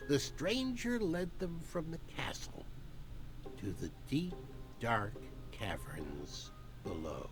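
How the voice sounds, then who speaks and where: A man voicing a solemn, theatrical teller of dark fairy tales, with measured and dramatic pauses, for a video game. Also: sits high, full character voice. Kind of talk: storybook narration in a video game.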